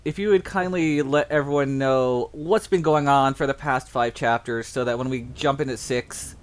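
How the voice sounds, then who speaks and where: A man hosting a livestream, introducing the show casually in short, measured phrases. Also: mid-range voice, clean tone. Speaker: livestream host.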